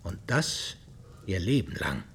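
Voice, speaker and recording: An actor playing a stern, dignified older patriarch in a German radio drama, formal and deliberate in delivery. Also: male voice, low voice, sing-song, over loud music, exaggerated voice. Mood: measured, self-assured.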